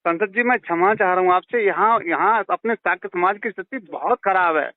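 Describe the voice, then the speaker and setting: Male villager, high voice, western Uttar Pradesh accent, insistent and pleading, heard over a narrowband phone line. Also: fairly quick, no echo. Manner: pleading, insistent, deferential, repeats himself. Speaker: villager on a phone call to his MP. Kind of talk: heated phone conversation. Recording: tinny narrowband phone call recording.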